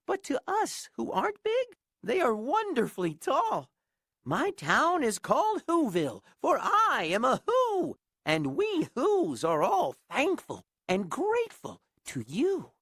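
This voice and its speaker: A woman doing the squeaky tiny Mayor of Whoville. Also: huge pitch swings, slow, no room sound, studio quality, full character voice. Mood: proud. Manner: high, eager piping. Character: tiny cheerful male Who, town mayor. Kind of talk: storybook reading.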